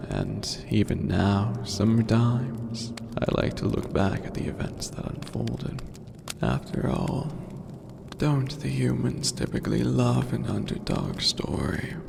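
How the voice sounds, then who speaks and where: A man voicing an ancient skeletal demon overlord in an ASMR roleplay, calm, regal and formal, with a gravelly, breathy voice that often sinks to a whisper. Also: lowish voice, exaggerated voice. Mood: reminiscing, amused. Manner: slow, deliberate, lofty and formal. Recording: long cavernous reverb, noisy ambient bed.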